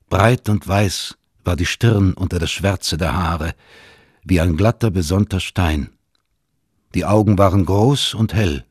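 A male narrator reads literary prose in a deep, husky voice. The sound is close and dry, as from a studio. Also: darkish tone, dry recording. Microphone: close studio microphone.

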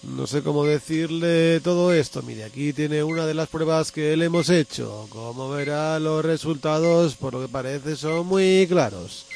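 A man's voice: fairly high pitch, unhurried, vocal fry, hardly any pauses, a little mellow, slight background noise. A man playing a blunt, evasive hospital doctor in a comic radio soap, hesitant and then crudely offhand. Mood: exasperated.

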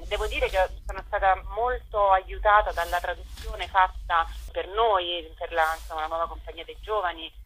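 Female radio interviewee, theatre director, on the phone: raspy, forward tone, searching phrasing. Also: on the low side, fairly even intonation, normal speed, hardly any pauses, a bit bright, rough recording. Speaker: guest, theatre writer and director.

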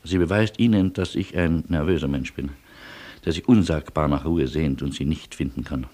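A weary male refugee in a radio play. His voice is extremely low, and he speaks with courteous, wry resignation and deliberate irony. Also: darkish tone.

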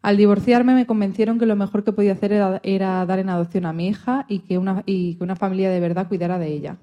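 A woman's voice: lowish voice, highs cut off, close to an everyday voice. A woman playing a resilient, proud historical pianist and composer, telling her life story earnestly in a radio interview. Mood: regretful. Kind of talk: scripted mock radio interview.

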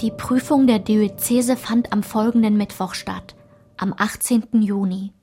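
A woman playing a narrator who recalls her ashamed girlhood, in a measured, matter-of-fact literary radio play style. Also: medium pitch, steady tone, mellow, faint music behind, close to an everyday voice. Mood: sober recollection.

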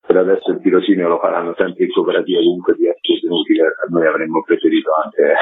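Husky guy doing an informal interview, explains things kinda hesitantly, sounds like a phone call. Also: deep voice. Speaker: interviewed project curator. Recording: tinny phone-like line.

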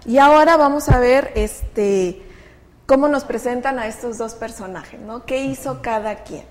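A woman hosts a Bible study program, guiding guests in a friendly way with plenty of fillers. Her voice sits a little high. It carries a long reverb from the room. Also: a little slow, a little mellow. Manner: friendly, leads discussion with fillers. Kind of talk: casual religious study chat.

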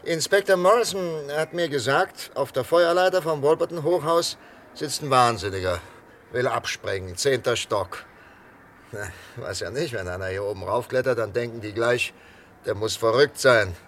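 A man playing a calm, coaxing psychiatrist in a 1960s German radio thriller, talking in a hesitant, conversational way. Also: mid-range voice, normal speed, natural voice. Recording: 1963 radio recording, faint noise.

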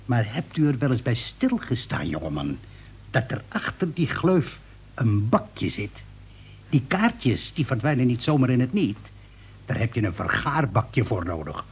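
A middle-aged crackpot inventor in a radio comedy drama, pompous and fond of explaining. His voice is very raspy, with swooping, animated intonation. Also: mid-range voice, a little slow, exaggerated voice. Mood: self-important. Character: eccentric self-important male inventor of trivial things. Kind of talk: radio play acting.

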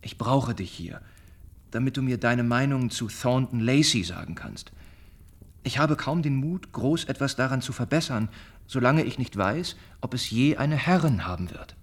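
An actor in a German radio play, playing a lovesick young gentleman, earnest and measured as he voices heartfelt letters. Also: mid-range voice, expressive. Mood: tender, longing. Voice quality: husky, breathy.

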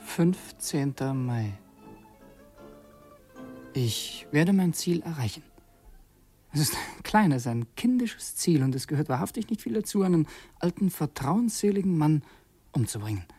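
An actor playing a brooding secretary who is secretly a revolutionary assassin, speaking haltingly and reflectively in a German radio drama, at times near a whisper. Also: male voice, a little high, sing-song, mellow, breathy edge, no room sound, soft background music, heavily acted. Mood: cold, calculating.

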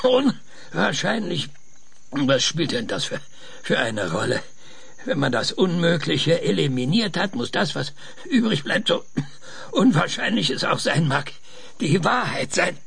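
Husky, frail old professor. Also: male voice, on the high side, big pitch swings, a bit bright, dry recording, full character voice. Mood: agitated, insistent. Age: older. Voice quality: husky, gravelly. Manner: halting, insistent, theorizing. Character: frail, obsessive old male science professor. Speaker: radio drama actor. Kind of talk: radio play acting.

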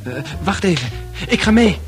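An actor in a Dutch radio play playing a shy, concerned young man who speaks haltingly and awkwardly. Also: high-pitched, very animated, music in the background. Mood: eager, awkward. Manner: hesitant, halting, soft-hearted.

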